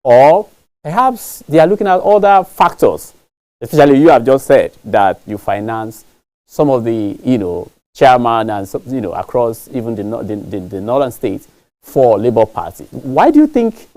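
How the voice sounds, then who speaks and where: A man hosts a political interview, asking probing questions in a halting way. His voice is mellow and dark. He often restarts before he lands the question. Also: medium pitch, very animated.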